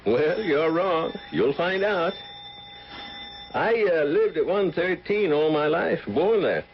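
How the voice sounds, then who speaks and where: An actor playing a nosy, stubborn working-class neighbour in a radio drama, talking in a folksy, colloquial way. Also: male voice, on the high side, lively intonation, slow. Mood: nosy, sceptical. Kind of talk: old-time radio drama acting. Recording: lo-fi old radio recording with hiss.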